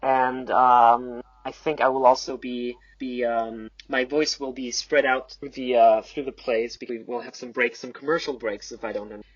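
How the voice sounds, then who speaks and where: A man chatting casually as a podcast guest, halting and repeating his words as he improvises. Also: medium pitch, fairly even intonation, dry recording. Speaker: podcast guest, audio play announcer. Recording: dull, muffled close recording.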